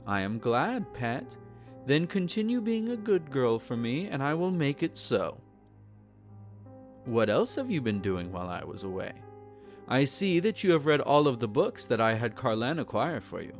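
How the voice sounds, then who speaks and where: A man playing a courtly, possessive vampire lord in an intimate roleplay audio, teasing and formal, savouring his phrases. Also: on the high side. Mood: curious, probing. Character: possessive, courtly male vampire lord doting on captive pet. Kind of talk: intimate roleplay audio acting, partly whispered.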